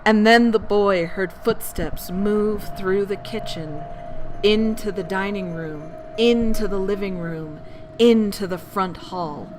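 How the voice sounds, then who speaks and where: A woman's voice: medium pitch, slow, slight background noise. A woman narrating scary tales as a bedtime storyteller, theatrical and full of suspense. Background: background music.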